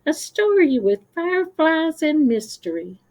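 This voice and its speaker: A woman's voice: high voice, very animated, a little slow, a little mellow, voice pushed up. A woman voicing an eager, kind young sloth child in an earnest storybook manner. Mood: wondering.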